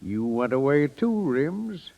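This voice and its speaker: A man's voice: medium pitch, very animated, takes it slowly, creaky, heavily acted. An actor playing a fatherly, reflective older man in the style of 1930s radio drama. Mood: wistful, reflective. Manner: gentle, philosophical, reflective. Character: wistful, fatherly older man advising his son-in-law.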